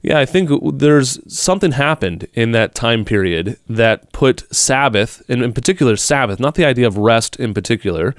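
A man's voice: on the low side, clean tone, no echo, studio quality. A man hosting a podcast interview on faith, talking in a conversational way and thinking aloud. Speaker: podcast host.

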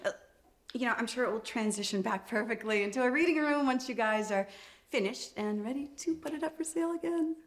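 A woman's voice: a little high, natural voice. Actress as a guarded, hesitant designer woman. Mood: uneasy, politely disapproving. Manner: hesitant, halting, stiffly polite. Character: guarded, uneasy woman interior designer in a thriller. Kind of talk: TV thriller film acting.

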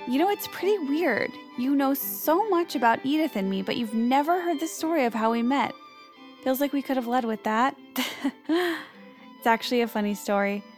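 A woman's voice: high voice, expressive, normal speed, soft background music. An actress playing a quirky, anxious young woman who chats awkwardly and cheerfully about grim things in comedic audio fiction. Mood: chatty, wry. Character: quirky, morbid, anxious young woman narrating her story.

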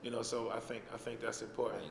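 A man talks reflectively in an interview clip, pausing often on fillers. His voice echoes through a large hall with a long reverb tail, and clear background noise sits under it. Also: medium pitch, little pitch movement. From Baltimore, USA. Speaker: author.

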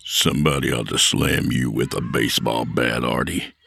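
A man voicing a gruff, domineering middle-aged bully who talks slow, low and threatening. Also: steady tone, sharp tone, full character voice. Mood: menacing.

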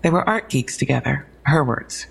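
Female police detective, audio drama: gravelly edge, low-key factual briefing, dry humor. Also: expressive, moderate pace, full character voice. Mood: matter-of-fact, wry. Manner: conversational briefing, wry asides. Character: matter-of-fact young female police detective, dryly wry.

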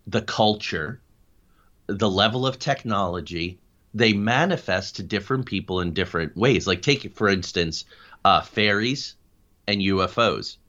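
A middle-aged man with a fairly low voice speaks as an interview guest. He explains and tells anecdotes in an animated, conversational way. The audio is somewhat dull and lo-fi. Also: measured pace. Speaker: interview guest, paranormal investigator and writer.

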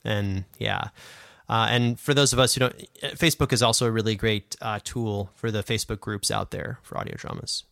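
A man's voice: fairly low pitch, fairly even intonation, moderate pace, creaky, fairly bright tone, dry recording, clean studio sound. A man in his twenties co-hosting a podcast, explaining things in a casual, conversational way. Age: 20s. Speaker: podcast co-host and sound designer.

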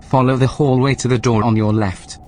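A man playing a formal, unflappable artificial mind guiding someone through an earpiece in an audio drama, in a deadpan, procedural manner. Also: mid-range voice, moderate pace, natural voice.

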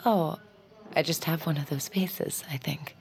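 A woman playing a weary, determined fugitive in an audio drama, terse and naturalistic with a gravelly voice. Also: deep voice, unhurried. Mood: determined, evasive. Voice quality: gravelly, breathy near-whisper at times.

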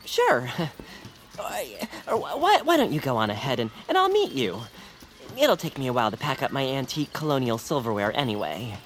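A woman voicing a genteel, excitable, eager-to-please character in a comedy audio drama, with fussy, flowery phrasing. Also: rumbling low, normal speed.

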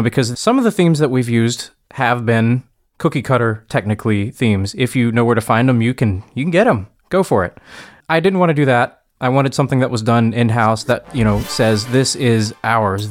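A man's voice: mid-range voice, normal speed. A man talking casually as a podcast panelist, explaining things in an informal, conversational way. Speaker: podcast panelist and audio producer. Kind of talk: casual chat, explaining production work.

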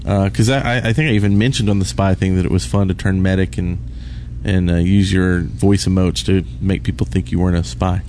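A man's voice: deep voice, steady tone, fairly quick. A man co-hosting a casual podcast about gaming, chatting informally. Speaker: podcast co-host. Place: live on an open convention floor. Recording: busy convention floor noise. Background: convention ambience and loudspeaker.

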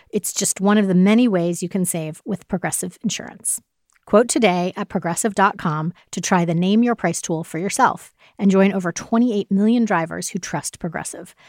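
A woman's voice: medium pitch, moderate pace, dry recording, clean studio sound. A woman reading a podcast ad in a friendly, upbeat tone. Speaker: ad reader.

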